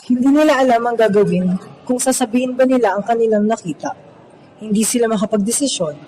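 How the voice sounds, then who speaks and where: High-pitched man narrating a story. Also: fairly quick, dark tone, a little hiss or hum, low-quality recording. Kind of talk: scripted storytelling narration of a student radio drama.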